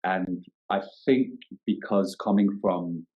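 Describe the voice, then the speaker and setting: A man, a yoga teacher talking thoughtfully in a podcast interview, often pausing and restarting as he searches for words. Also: sits low, lo-fi sound. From Denmark. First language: Danish.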